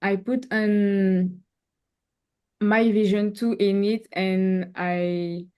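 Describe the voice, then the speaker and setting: A young woman whose first language is Creole, a cinematographer guest speaking English haltingly and searching for words. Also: medium pitch, fairly even intonation, clear, resonant tone, muffled recording. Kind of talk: casual interview talk.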